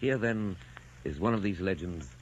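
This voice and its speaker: Deep-voiced old radio announcer, a man. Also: animated, normal speed. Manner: formal, solemn. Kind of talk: scripted old-time radio narration. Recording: dull old radio recording, faint noise.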